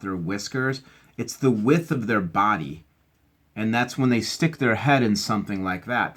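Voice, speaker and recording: A man hosting a read-aloud for children, speaking in chatty, expressive asides straight to his young listeners. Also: lowish voice.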